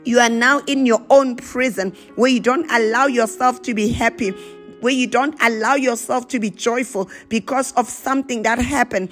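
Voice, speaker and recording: A woman's voice: a little high, steady tone, normal speed, faint music behind. A woman preacher delivering a charismatic sermon and prayer, exhorting with insistent short repeated phrases and breaking into tongues.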